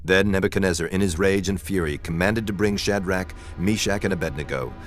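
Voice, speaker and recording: A man narrating dramatized scripture in a solemn, measured storytelling manner. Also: deep voice, soft background music.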